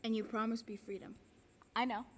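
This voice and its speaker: An actress on a theatre stage playing a wounded yet defiant enslaved daughter who speaks pointedly. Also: female voice, on the high side, flat delivery, a little fast, natural voice. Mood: loving, calm. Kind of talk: stage play acting. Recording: reverberant theatre stage recording.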